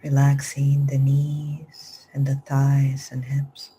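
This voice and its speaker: A woman guides a meditation in a low, even voice, her slow phrases often sinking into a whisper. Her tone is mellow and unhurried. A faint hiss sits under the recording. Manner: slow soft-spoken instructions, drifting into whispers. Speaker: meditation teacher. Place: live group session.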